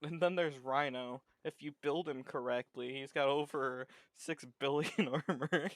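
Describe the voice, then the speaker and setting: A male co-commentator with a smooth, clean voice and an unhurried pace. He chats casually with wry interjections, recorded dry and close. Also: on the high side, a bit bright, no room sound. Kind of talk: casual joking chat.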